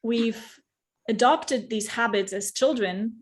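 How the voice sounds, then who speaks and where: Earnest, searching woman speaking slowly. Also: on the high side. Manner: earnest, searching, many restarts and fillers. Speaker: guest, yoga teacher and facilitator. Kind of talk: reflective spiritual conversation.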